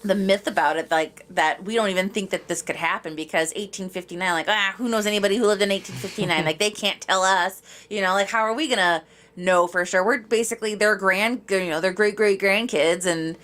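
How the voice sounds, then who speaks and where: A woman's voice: medium pitch, brisk, fairly bright tone. A woman co-hosting a podcast in casual chat, speaking bluntly and informally in a rambling way. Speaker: podcast co-host.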